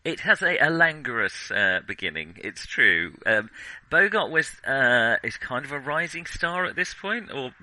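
A man co-hosts a relaxed film discussion in a very bright, crisp-edged voice. His pitch moves in a lively way, while his delivery is wry and halting. The sound is dry, with little room around it. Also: no echo, poor audio. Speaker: podcast host.

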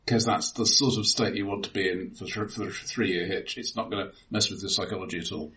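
A man's voice: low voice, fairly even intonation, fairly quick, bright, forceful tone. A man co-hosting a film podcast in casual conversation, with a dry, wry way of talking. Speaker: podcast co-host.

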